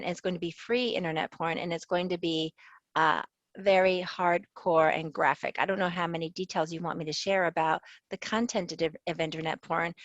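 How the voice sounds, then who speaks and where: Low-voiced woman professor, dull dry sound. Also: fairly bright tone, no echo. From American South. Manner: earnest, thoughtful, laughs easily, restarts phrases. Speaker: sociology professor and author, guest. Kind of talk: interview answers.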